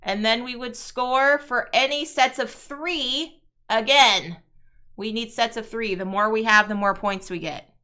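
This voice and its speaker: Woman streamer, chatty and unhurried, bright, smooth voice a touch raspy this morning. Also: fairly high pitch. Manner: chatty, talks to chat viewers, sings playfully. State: slightly raspy before morning tea. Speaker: streamer playing a solo card game. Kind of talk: casual live-stream commentary.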